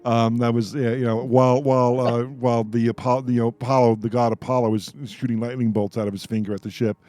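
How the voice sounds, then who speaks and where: A man's voice: medium pitch, little pitch movement, quick pace, darkish tone. A man in his sixties hosting a radio panel, chatting casually and circling back as he rambles through questions and memories. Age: 60s. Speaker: radio host. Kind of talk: casual chat, moderating a panel.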